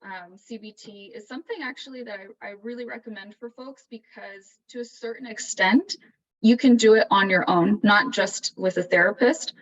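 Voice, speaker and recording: Soft-voiced female therapist, measured and explanatory; distant, dull video call audio. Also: mid-range voice. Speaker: therapist and author. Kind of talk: panel conversation over video call.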